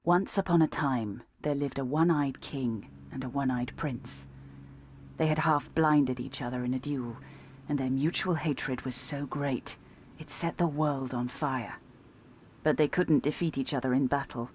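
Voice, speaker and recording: A woman's voice: extremely low pitch, unhurried, a little mellow, slight background noise. An actress playing a stern, sardonic female assassin in a video game, with a dry, threatening delivery. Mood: dark storytelling.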